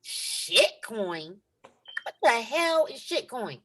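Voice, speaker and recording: A woman's voice: on the high side, very animated, extremely slow, a bit bright, full character voice. Woman playing a sassy young granddaughter. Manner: sassy, exasperated scolding. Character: sassy nineteen-year-old granddaughter. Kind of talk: table read.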